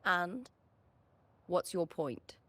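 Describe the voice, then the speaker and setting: An actress voicing a proud young gladiator star in a video game, bold and blunt, with a self-assured, commanding manner. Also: female voice, fairly low pitch, lively intonation, drawn-out words, pushed and bright, exaggerated voice. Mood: curt, confident.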